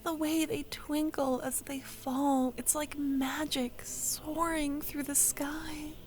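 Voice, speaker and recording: Shy, smitten childhood friend played by a woman: young, high, breathy, slow-paced, excitable but tender, intimate ASMR roleplay delivery. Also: soft background music. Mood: awed, excited. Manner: soft, breathy, often dropping to a whisper. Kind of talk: soft-spoken ASMR audio roleplay.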